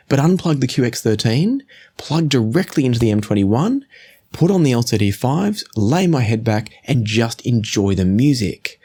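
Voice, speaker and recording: A man in his forties giving a measured, conversational product review to camera, explaining things as he goes. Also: medium pitch, a little mellow. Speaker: audio gear reviewer. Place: small indoor home studio room.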